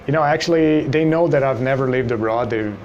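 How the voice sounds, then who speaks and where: A man's voice: medium pitch, fairly quick, lo-fi sound, a little hiss or hum. Imagine you're a Brazilian man in his forties, easygoing English teacher. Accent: Brazilian Portuguese. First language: Portuguese. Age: 40s. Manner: relaxed, many fillers like "you know" and "you see". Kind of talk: personal story to camera.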